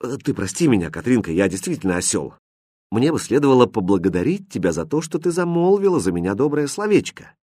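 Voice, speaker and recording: A man's voice: medium pitch, very animated, quick pace, mellow, no room sound. Storybook young man, musician, earnest and insistent with a short temper man performing, dry recording. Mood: apologetic. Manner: insistent, proud.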